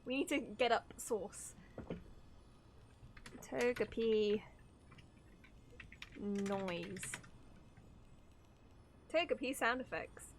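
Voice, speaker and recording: A woman livestreaming a game with casual, excitable chat, giggly and easily sidetracked. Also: fairly high pitch, extremely slow, long pauses, a little hiss or hum. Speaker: livestreamer reacting to a video game.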